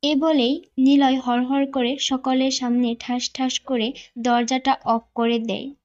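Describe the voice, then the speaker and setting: A woman narrates a scripted romance in a dramatic storytelling style. Her voice is high, and the recording is a little dull and muffled. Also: flat delivery, a little slow, darkish tone.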